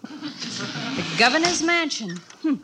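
A woman's voice: high voice, big pitch swings, takes it slowly, exaggerated voice. An actress playing a tough, wary frontier gunslinger woman with a blunt manner and American accent in a 1950s radio western comedy. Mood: wary, skeptical. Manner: blunt, skeptical. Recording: noisy old radio broadcast with clicks.

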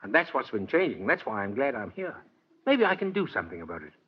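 An older man acting in 1940s radio style as a kindly, gentle old man who earnestly believes he is Santa Claus, with a husky voice. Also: mid-range voice, very animated, fast talker. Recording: muffled vintage 1940s radio recording.

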